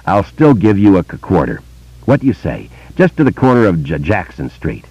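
A man voicing a grave, quiet grown man who speaks softly and stutters. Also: sits low, huge pitch swings, breathy edge, no echo, heavily acted. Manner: soft, measured, stuttering. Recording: dull lo-fi dry recording.

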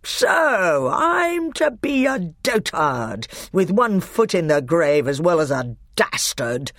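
A furious, proud king who growls and roars, his voice leaping upward as he rages, put on by a man reading the story. The pitch swings widely with each outburst. The sound is dry and close, with no room around it. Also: sing-song, normal speed, no room sound. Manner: growling, roaring, blustering. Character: angry proud middle-aged male usurper king.

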